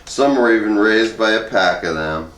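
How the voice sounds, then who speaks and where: A man's voice: fairly low pitch, expressive, moderate pace, fairly bright tone. A man reading a humorous first-person essay aloud in a conversational, wry storytelling way. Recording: roomy echo, distant microphone.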